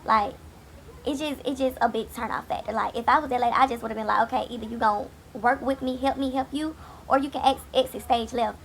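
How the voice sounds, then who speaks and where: Woman, raspy gravelly voice, a bit high, blunt and sassy, casual show recap, faint hiss behind. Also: steady tone, a little fast. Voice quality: raspy from a cold. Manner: blunt, sassy, swears freely. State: hoarse, getting over a cold. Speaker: TV recap host. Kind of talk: casual episode review. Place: home, late at night.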